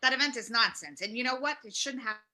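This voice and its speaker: A woman playing an irritable, incredulous male radio host in his sixties in a comedy table read, blunt and quick to repeat questions. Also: fairly high pitch, sharp tone. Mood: indignant.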